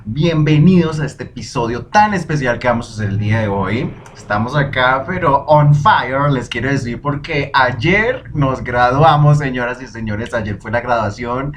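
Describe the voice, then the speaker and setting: A young man hosting a solo podcast, talking in a lively, emphatic way and dropping in foreign phrases. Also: medium pitch, normal speed, few pauses, a little hiss or hum. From Colombia. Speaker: podcast host.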